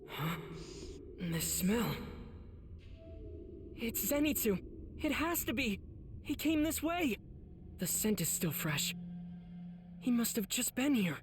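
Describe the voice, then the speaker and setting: A man's voice: very high-pitched, sing-song, slow, long pauses, roomy sound, a little hiss or hum, exaggerated voice. An actor playing an earnest, protective teenage demon slayer boy in an anime game, speaking with resolve and reassurance. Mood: warm reassurance. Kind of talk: dubbed anime game acting.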